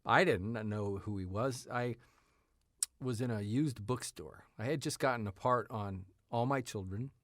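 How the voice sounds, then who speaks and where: A man in his fifties telling stories casually as an interview guest, in a halting, meandering way. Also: medium pitch, no echo, clean studio sound. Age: 50s. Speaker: writer, actor, interview guest. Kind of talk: casual conversational storytelling. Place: radio studio.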